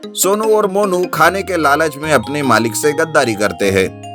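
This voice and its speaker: A man narrating an animated children's story from a script. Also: a little high, normal speed. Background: background music.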